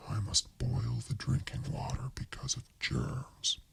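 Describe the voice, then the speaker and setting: A man's voice: medium pitch, fairly even intonation, slow pace, heavily acted. Man as a meek, whispering henpecked husband. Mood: meek, dutiful. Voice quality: breathy whisper. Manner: dutiful, listing. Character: meek henpecked dead husbands, obediently reciting chores. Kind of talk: whispered radio play reading.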